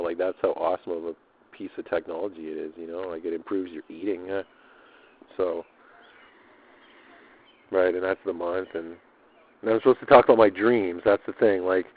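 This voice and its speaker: A man's voice: deep voice, narrow, tinny audio. A man keeping a casual audio diary, rambling in a loose, slangy way with swearing and repetition. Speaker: personal audio diarist.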